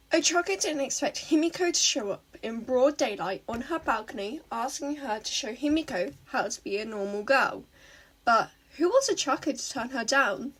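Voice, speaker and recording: A woman's voice: on the high side, slightly rough, rough recording. A woman reading fan fiction aloud as a scripted story narration.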